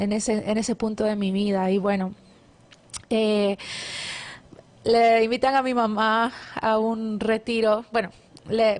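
Guatemalan woman sharing faith testimony. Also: fairly high pitch. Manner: warm devout storytelling with many fillers and restarts. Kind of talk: casual testimony interview.

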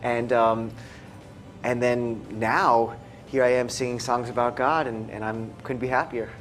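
A man's voice: mid-range voice, flat delivery, a little slow, faint music behind. A man from the United States speaking casually as an interview guest, easygoing and laid-back. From United States, after early childhood abroad. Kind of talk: casual chat.

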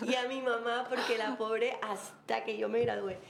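A woman's voice: fairly high pitch, steady tone, moderate pace, gravelly. A woman in her forties from Colombia with a Caribbean coast accent, telling her life story as a guest in a casual interview, laughing often.

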